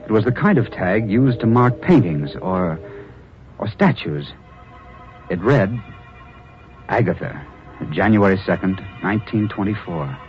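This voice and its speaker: An actor playing a newspaper reporter narrating an old radio drama, earnest and slightly halting. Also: male voice, lowish voice, big pitch swings. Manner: earnest storyteller, hesitant repeats. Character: newspaper reporter narrating his story, adult man. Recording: dull old radio recording with music.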